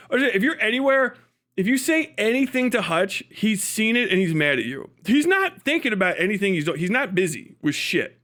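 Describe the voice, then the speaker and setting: A man with a high, clear voice, streaming casually to his chat. His delivery is bright and forceful, with a mocking, animated manner and plenty of swearing. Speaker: live stream host. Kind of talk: casual livestream chat to viewers.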